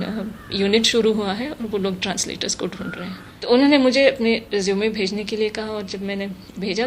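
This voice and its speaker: Interview guest: woman, mid-range pitch, thoughtful, English terms mixed in, some background noise. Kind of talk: measured interview answers. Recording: noticeable steady background noise.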